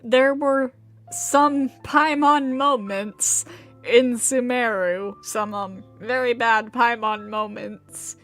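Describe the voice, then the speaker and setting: A young woman livestreams a game in a fairly high voice. She chats casually at a slow pace, rambling and hesitating, with faint music in the background. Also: steady tone, a bit bright. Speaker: game streamer.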